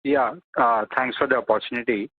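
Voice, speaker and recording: A man's voice: medium pitch, steady tone, fairly quick, slightly rough. A man working as a financial analyst, putting questions on an earnings call in hesitant, piecemeal phrases. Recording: dry narrowband phone line.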